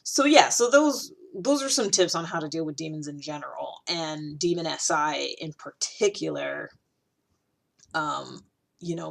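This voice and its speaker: A woman talking casually to a camera, animated and chatty, with many fillers and restarts. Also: lowish voice, creaky. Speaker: video host. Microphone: camera, solo recording.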